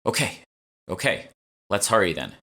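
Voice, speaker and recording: A man's voice: on the low side, very animated, moderate pace, bright, forceful tone, pitched above the performer's own voice, exaggerated voice. A man voicing a polite, earnest, easily flustered woman curator in an audiobook. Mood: flustered concern. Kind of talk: audiobook character dialogue.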